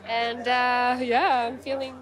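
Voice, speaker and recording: A woman's voice: on the high side, little pitch movement, takes it slowly, music in the background. A woman from Guatemala, a nominated musician, answering questions at a red carpet interview into a handheld mic, speaking in an easygoing way with light laughs. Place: outdoor red carpet at an awards show. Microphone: handheld mic held by interviewer.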